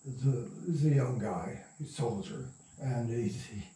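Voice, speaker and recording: A man's voice: medium pitch, dark tone, a little hiss or hum. Older man reminiscing, distant and echoey. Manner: rambling, halting, chuckling raconteur. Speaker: interview guest, radio producer and sound recordist. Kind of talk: casual anecdotal storytelling. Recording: roomy echo, distant from mic.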